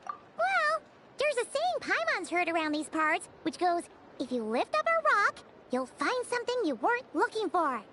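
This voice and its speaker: Tiny game fairy sidekick: squeaky-high, cute, chatty, very animated delivery. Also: female voice, moderate pace, a little hiss or hum, exaggerated voice. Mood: chatty, upbeat. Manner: perky, chatty, refers to self in third person. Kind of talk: animated video game voice acting.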